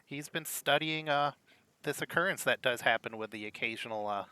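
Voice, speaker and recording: A chatty male halfling musician, as a game master voices him rambles, boasts and cracks jokes. The voice is bright and forceful, and the pitch sits in a middle range. The scene comes from a tabletop game played around a table. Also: normal speed, clear, resonant tone, no echo, lightly acted. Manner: rambling, teasing, fond of jokes.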